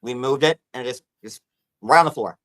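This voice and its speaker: A man's voice: medium pitch, a little fast, a bit bright. A man chatting casually on a livestream, rambling and swearing casually.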